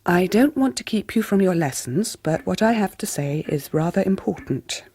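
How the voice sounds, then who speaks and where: A woman's voice: on the low side, expressive, warm tone, breathy edge, dry recording. Genteel, stern English mother in dry sound. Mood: stern, measured. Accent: English upper-class. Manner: formal, measured, admonishing. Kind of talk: 1990s radio drama acting.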